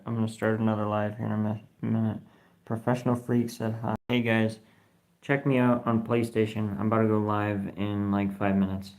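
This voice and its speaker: A man's voice: on the low side, darkish tone. A man hosting a casual live stream from his phone, reading viewer comments aloud in a rambling, slangy way, swearing casually and spelling names out letter by letter. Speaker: livestream host.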